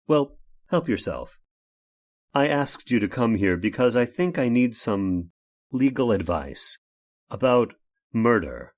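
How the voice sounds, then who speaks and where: Genteel, halting inventor, low swooping voice. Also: male voice. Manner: genteel, halting, polite, rambling. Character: courteous, ailing, secretive inventor of artificial limbs confessing. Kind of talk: old time radio horror drama acting.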